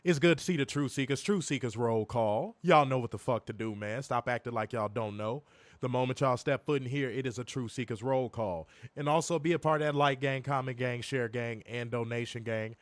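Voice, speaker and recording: Hoarse, profane live-stream host. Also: male voice, medium pitch, a little fast, no echo. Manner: profane, joking, talks straight to viewers. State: hoarse, recovering from illness. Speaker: co-host of a wrestling review stream. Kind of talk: casual live-stream chat. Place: home, in Chicago.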